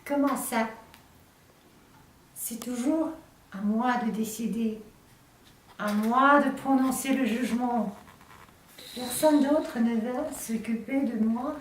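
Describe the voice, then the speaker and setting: Very slow, echoey woman reciting poetry. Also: a little high. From Russia. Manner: deliberate, declamatory, short phrases with pauses. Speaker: poet reading own work. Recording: very echoey room, distant mic, faint noise.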